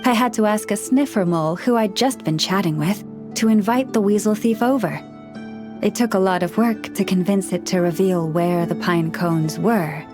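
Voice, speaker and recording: A woman voicing a gentle, earnest young woman who counsels animals in a video game, speaking in a measured, formal way. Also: medium pitch, close to an everyday voice. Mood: fond, patient. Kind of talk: video game character dialogue. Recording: studio voice over background music.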